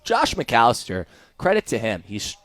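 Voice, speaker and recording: A man's voice: medium pitch, wildly swooping pitch, moderate pace, fairly bright tone, no echo. A young American man giving live color commentary on a baseball radio broadcast.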